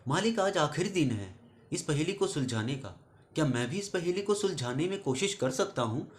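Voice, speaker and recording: A man's voice: fairly high pitch, moderate pace, lightly acted. Humble, honest servant voiced by a male storyteller. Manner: polite, calmly explaining. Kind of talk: storybook character voice.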